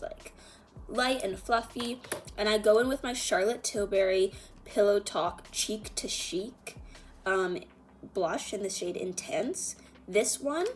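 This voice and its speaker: A woman's voice: mid-range voice, slight background noise. A woman chatting casually through a makeup tutorial in an informal, meandering, self-deprecating way. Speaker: beauty vlogger.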